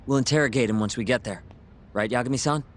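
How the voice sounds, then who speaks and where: A man's voice: mid-range voice, fast talker, close to an everyday voice. A man playing an alert, easygoing young member of a detective crew in a video game cutscene. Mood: brisk, upbeat.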